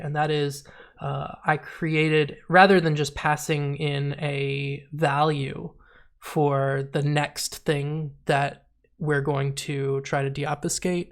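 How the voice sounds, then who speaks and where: A man with a stuffy, sniffly nose walks through his programming on a casual stream. His voice stays flat and gravelly, dotted with fillers, and he drifts into quiet muttering as he types. Keyboard clicks sit behind him. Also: on the high side. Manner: thinking aloud with many fillers, trailing off to mutter while typing. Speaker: programmer streaming a coding session. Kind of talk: casual screen-share coding walkthrough.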